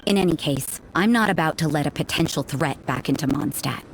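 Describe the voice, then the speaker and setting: A woman's voice: low voice, brisk, noisy background. An actress playing a cool, cynical woman who patrols for threats, speaking in a dry, dismissive and suspicious way. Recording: backing music.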